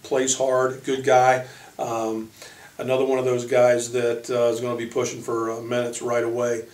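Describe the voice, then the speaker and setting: A man speaking as a college basketball coach, introducing players informally in a halting way with frequent pauses. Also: mid-range voice, completely flat delivery, a little mellow. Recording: echoey room, distant microphone.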